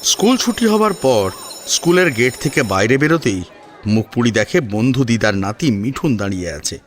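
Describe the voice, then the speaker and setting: A man's voice: medium pitch, big pitch swings, moderate pace, slight background noise. A man narrating a scripted audio story in a dramatic storytelling style.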